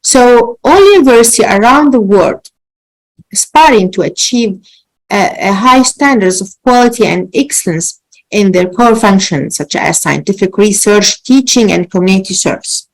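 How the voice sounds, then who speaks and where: An Egyptian woman with a medium-pitched voice delivers a formal academic presentation. She picks her words carefully and often halts or repeats herself before carrying on. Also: lively intonation, moderate pace, low-quality recording. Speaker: associate professor, presenter. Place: virtual conference call.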